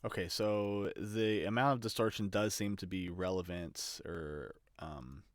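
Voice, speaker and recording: A man with a low-pitched voice talks through an audio production demonstration at an unhurried, slow pace. He is casual and unscripted, often hesitating as he works things out aloud. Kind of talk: casual unscripted screen-recorded tutorial. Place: indoors at a computer.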